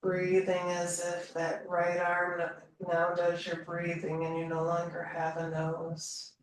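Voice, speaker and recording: A woman leading a slow guided meditation in a calm, soothing manner, leaving long pauses between phrases. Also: on the low side. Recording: roomy echo, distant mic, faint noise.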